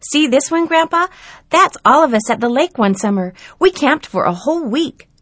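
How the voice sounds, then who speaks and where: A woman voicing an excited, earnest young boy in a storybook reading, his lines pitched high and loud. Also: moderate pace, dry recording, studio quality. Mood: eager. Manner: high and eager, loud exclamations.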